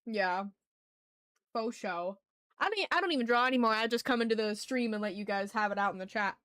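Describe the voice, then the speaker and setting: A woman's voice: on the high side, brisk, a bit bright, clean tone, no echo, clean studio sound. A woman hosting an art livestream, chatting casually and slangily with her viewers into a sensitive microphone. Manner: slangy, informal. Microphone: sensitive ASMR microphone.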